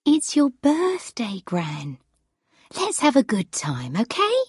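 A patient granddaughter voiced by a woman narrator in a storybook, cheerfully coaxing and encouraging. Her pitch swings in a lively, animated way, with a slightly husky edge and unhurried pace. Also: no echo, clean studio sound, exaggerated voice. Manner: bright, encouraging, soothing.

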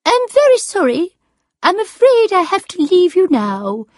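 A woman voicing a grand, moody, eccentric eighty-year-old lady in a story, with a high, drawn-out delivery. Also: very animated, darkish tone, exaggerated voice. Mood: grand, gracious.

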